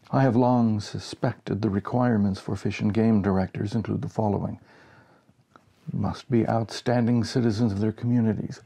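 A man in his sixties giving an expressive reading of a humorous story. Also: lowish voice, gravelly, breathy edge. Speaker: story reader. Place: small home office, indoors.

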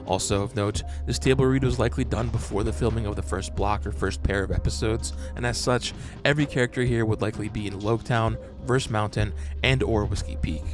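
A man narrating a scripted breakdown as a host, in a measured, explanatory manner. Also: lowish voice. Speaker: host of a fan breakdown channel. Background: music.

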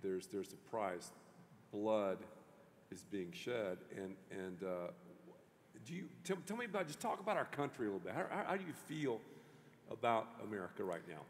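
A middle-aged man, a pastor, interviewing a guest on a church stage in an animated way, with many restarts. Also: fairly low pitch, moderate pace, a little hiss or hum. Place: large church stage before an audience. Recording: long hall reverb.

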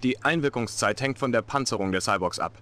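A man's voice: medium pitch, brisk, a bit bright, lightly acted. A middle-aged man playing a dutiful, defensive Soviet military officer in a dubbed video game cutscene, formal and clipped.

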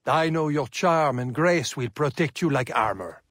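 An actor playing a doting older gentleman father in a video game, with a gentle, formal, measured manner. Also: fairly high pitch, expressive, no room sound. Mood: fond, concerned. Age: middle-aged or older. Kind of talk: video game cutscene acting.

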